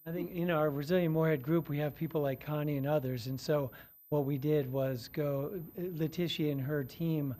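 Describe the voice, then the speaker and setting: A man explains a process at a city meeting in an unhurried way. His voice is mellow and fairly high for a man. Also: steady tone.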